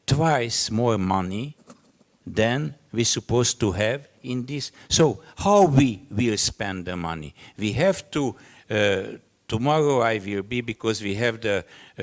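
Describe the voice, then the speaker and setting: A man from Romania speaks live on a conference panel with a Hungarian-Romanian accent. His voice sits low and his delivery is markedly slow. He is halting in English, feeling for each phrase as he goes. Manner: halting, searching for words in non-native English.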